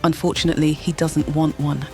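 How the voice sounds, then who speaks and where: A woman's voice: deep voice, level tone, brisk, over music. A woman reading scripted, dramatic story narration for an audio series.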